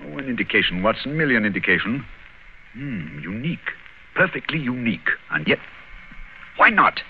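A man's voice: mid-range voice, very animated, a little fast. An actor playing a keen, coolly musing male detective in an old radio mystery, with a clipped, probing manner. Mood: musing, intrigued. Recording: muffled, noisy vintage radio recording.

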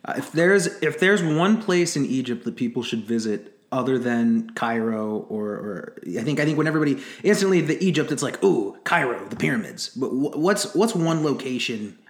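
A man's voice: medium pitch, animated, normal speed, hardly any pauses. Young male interviewer, echoey room sound. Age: 20s. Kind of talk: casual conversational interview.

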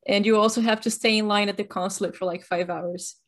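A woman's voice: mid-range voice, fairly even intonation, fast talker. Brazil-raised woman, podcast co-host, wry and chatty. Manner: wry, joking, chatty explainer. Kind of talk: casual chat.

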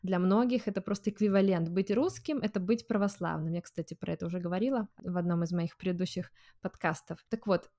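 A woman hosting a podcast, asking curious questions and explaining her ideas in a relaxed, reflective way. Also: on the low side, fast talker. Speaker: podcast host. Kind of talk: casual conversational interview.

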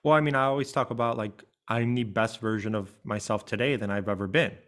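A man's voice: on the low side, brisk, a bit bright. A middle-aged man hosting a coaching podcast, talking in an earnest, reflective way and thinking aloud. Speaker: life coach and podcast host. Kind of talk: reflective interview conversation.

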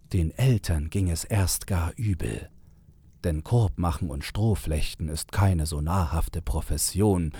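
A man with a husky voice narrating a fairy tale in a measured, unhurried way. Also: low voice, dark tone. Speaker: storyteller, narrator.

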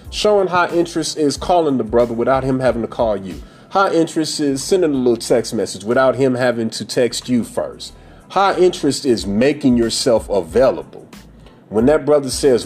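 A man in his forties with a husky, breathy edge, holding forth in a blunt, profane, preachy way on his own show. His pitch sits mid-range for a man, and faint music plays underneath. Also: expressive, normal speed. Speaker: podcast host. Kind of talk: casual monologue on dating.

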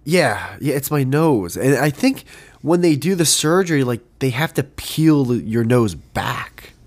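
Stuffy-nosed young man, lively. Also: mid-range voice, a little slow. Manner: animated, laughing, swearing. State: stuffy nose from a cold. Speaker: podcast co-host. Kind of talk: casual chat.

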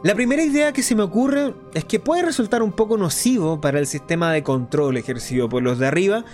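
Man playing theatrical, high-pitched clandestine radio host. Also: expressive, fairly quick, a little mellow, faint music behind. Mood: urgent, conspiratorial. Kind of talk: theatrical radio drama monologue.

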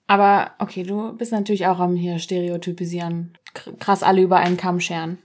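A woman's voice: fairly low pitch, level tone, brisk, a little hiss or hum. A young German woman hosting a podcast, chatty and teasing as she asks questions. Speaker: podcast host. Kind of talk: casual conversation.

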